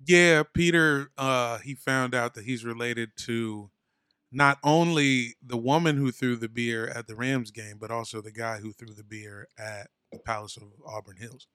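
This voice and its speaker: A man's voice: medium pitch, measured pace, sharp tone, smooth. A man co-hosting a podcast in casual comedic banter, riffing playfully. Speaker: podcast co-host.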